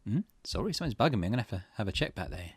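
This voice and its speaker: A man's voice: fairly low pitch, sing-song, very fast, breathy edge, no room sound. A man voicing a suspicious, jumpy man on a night watch in a visual novel, muttering uneasily. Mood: uneasy.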